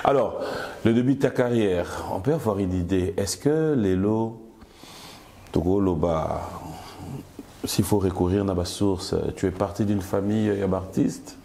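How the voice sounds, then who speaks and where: A man's voice: deep voice, a little slow, gravelly, warm tone. A man, hosting an interview in a playful, teasing way. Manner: playful, joking, laughs often. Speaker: interview host.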